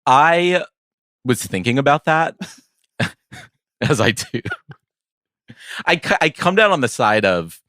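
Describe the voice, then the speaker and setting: A man co-hosting a podcast in casual banter, thinking aloud in a halting, rambling way. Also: medium pitch, sing-song, fairly bright tone, no echo, studio quality. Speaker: podcast co-host.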